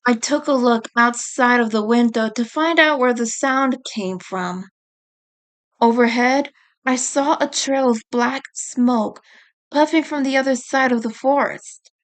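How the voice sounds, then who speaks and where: A woman reading a scripted first-person story aloud as its author, in a deliberate storytelling manner. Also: a little high. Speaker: author reading own book chapter.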